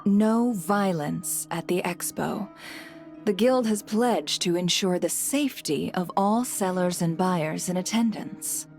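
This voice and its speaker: A woman voicing a poised, shrewd female guild leader in a video game, composed, deliberate and businesslike. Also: lowish voice, a little mellow. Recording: music behind the voice.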